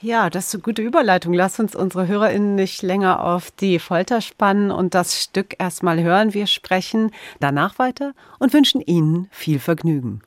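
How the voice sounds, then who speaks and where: A woman's voice: mid-range voice, moderate pace, hardly any pauses, no echo. Woman host in a dry studio. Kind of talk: scripted show moderation.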